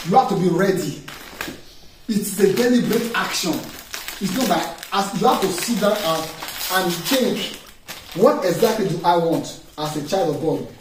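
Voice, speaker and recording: A man's voice: high-pitched, expressive, normal speed. A man, a pastor preaching a sermon with emphatic call-and-response questions to his congregation. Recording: echoey room, distant mic, faint noise.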